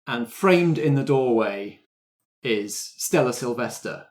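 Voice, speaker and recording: Game master setting the scene, measured, bit wry. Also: medium pitch, animated. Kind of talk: narrating a tabletop roleplay.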